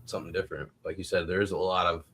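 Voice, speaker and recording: Low-voiced man chatting over background noise. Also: little pitch movement, very fast, fairly bright tone. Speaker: podcast co-host. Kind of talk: casual chat.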